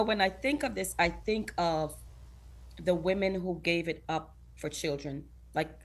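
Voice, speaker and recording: Low voiced woman from guyana on a talk show, earnest, laughs a lot, acts out other people's lines. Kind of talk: casual interview chat.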